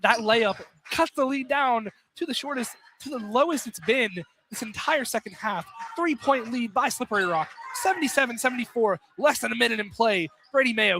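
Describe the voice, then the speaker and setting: A high-voiced man calls college basketball live for radio. He is excitable and exclamatory as he follows the play. Loud noise from the gym runs behind his voice throughout. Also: normal speed, few pauses. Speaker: play-by-play announcer. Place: indoor college field house during a game. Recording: noisy live gym broadcast.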